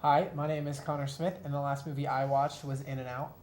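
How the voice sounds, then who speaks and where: A man's voice: medium pitch, level tone, brisk, darkish tone, a little hiss or hum. A young man in casual review chat as a show co-host, joking and self-deprecating. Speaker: film review show co-host and quiz contestant.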